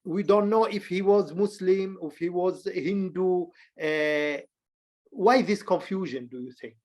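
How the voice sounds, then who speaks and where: A man's voice: sits high, a little slow, a little mellow. A man hosting an interview, asking short questions and murmuring assent while the guest talks. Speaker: interview host.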